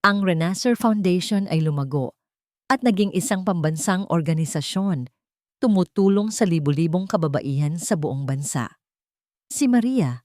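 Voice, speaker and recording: A woman's voice: deep voice, a little mellow, no room sound, clean studio sound. A woman narrator reading a scripted dramatic story.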